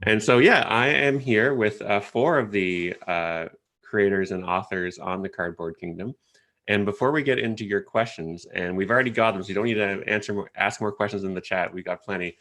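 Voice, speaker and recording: A man from Canada, moderating an online panel in a relaxed, chatty way with hesitant fillers, over a video call. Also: on the low side, normal speed. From Ottawa, Canada.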